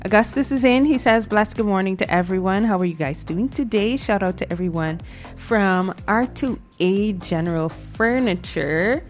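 A woman's voice: mid-range voice, moderate pace, soft background music. A Guyanese woman co-hosting a morning radio show, chatting casually and reading out listener messages. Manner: upbeat, reads listener messages aloud.

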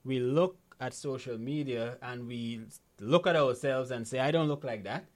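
A man with a Guyanese accent talks like a motivational coach in an interview. His delivery is conversational and emphatic, circling back to repeat key phrases and often checking that the listener follows. The pitch sits in an ordinary middle range for a man. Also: normal speed. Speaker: financial literacy coach, interview guest.

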